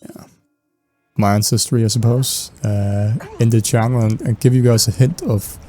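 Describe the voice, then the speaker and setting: A man's voice: on the low side, steady tone, moderate pace, mellow, dry recording, faint music behind. A young Danish man with a Danish accent, chatting casually while playing, with many fillers and restarts. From Denmark. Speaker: gaming YouTuber. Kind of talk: casual gameplay chat.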